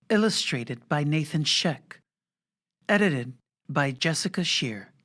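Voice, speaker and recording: An announcer giving a formal, measured and solemn scripted reading for an audiobook. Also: medium pitch, a bit bright.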